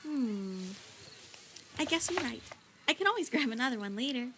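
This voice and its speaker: A woman voicing a bubbly, naive young shopkeeper who is excitable, chatty and easily torn between choices in a roleplay voice pack. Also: sits high, moderate pace, background noise. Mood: excited.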